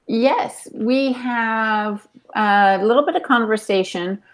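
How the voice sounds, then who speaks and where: A woman's voice: fairly high pitch, slow. A woman casually relaying audience comments and questions as a podcast's chat moderator.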